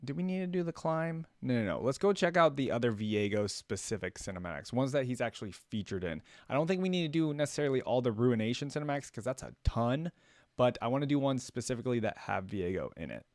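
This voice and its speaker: A man's voice: medium pitch, animated, fast, no echo, studio quality. A man giving casual, off-the-cuff reaction commentary as a video host, thinking aloud and poking fun at himself.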